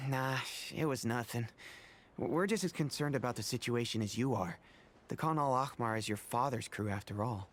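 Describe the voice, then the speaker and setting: A man's voice: medium pitch, moderate pace, fairly bright tone, slight background noise, natural voice. A man playing a casual, friendly young mercenary in a video game, explaining things earnestly and informally. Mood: modest. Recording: music behind the voice.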